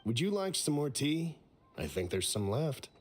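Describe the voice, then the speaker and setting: Courteous, expressive tea host. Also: male voice, fairly high pitch, heavy background noise. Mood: courteous, gentle. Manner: polite, measured. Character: polite, courteous male host offering tea. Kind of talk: video game character dialogue. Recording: game music behind voice.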